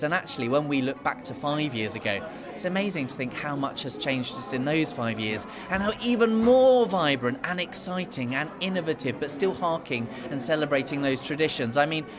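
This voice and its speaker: A man's voice: fairly high pitch, brisk, a crowd in the background. A man, an awards organiser, speaking effusively and with a lot of enthusiasm as an interview guest. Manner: effusive, gushing, lively.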